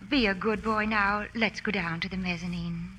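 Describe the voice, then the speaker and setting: A woman's voice: medium pitch, steady tone, normal speed, bright, forceful tone, close to an everyday voice. A woman acting a genteel, formal young heiress in an old-time radio drama. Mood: firm, uneasy. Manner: formal, proper. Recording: faint noise on old radio recording.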